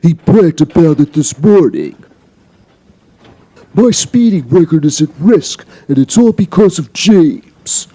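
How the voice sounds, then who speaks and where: A man's voice: fairly high pitch, animated, slow pace, dark tone, pitched above the performer's own voice, rough recording. A man voicing resentful male steam engines in a children's story, grumbling and indignant.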